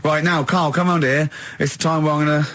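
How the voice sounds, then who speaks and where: A man with a slightly husky voice co-hosting casual radio banter in an excitable, repetitive, gleeful way. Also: fairly high pitch, fairly quick. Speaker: radio show co-host. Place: radio studio. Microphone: studio microphone.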